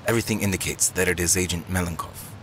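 An actor playing a male agent giving a flat, dutiful report in an adventure game. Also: deep voice, fast, a little hiss or hum, rough recording. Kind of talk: game voice acting.

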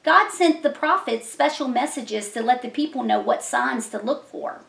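A woman's voice: a little high, animated, moderate pace, vocal fry, a bit bright. A middle-aged woman hosting a children's puppet show, telling Bible stories in a simple, explanatory way. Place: small decorated room indoors. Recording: echoey room.

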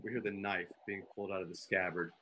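A man's voice: low-pitched, level tone, quick pace, noisy background. A man narrating a radio drama, reading stage directions and sound cues aloud over a video call. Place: room at home, on a video call.

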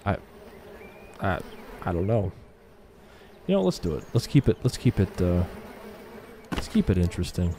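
A man's voice: low voice, big pitch swings, moderate pace, long pauses, noisy background. A man commentating casually on his game livestream, chatty, slangy and a little hesitant.

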